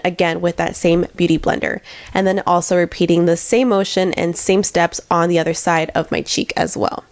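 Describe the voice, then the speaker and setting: A woman's voice: medium pitch, dry recording. A young woman narrating a makeup tutorial in a chatty, step-by-step way, her voice nasal from congestion. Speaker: beauty vlogger.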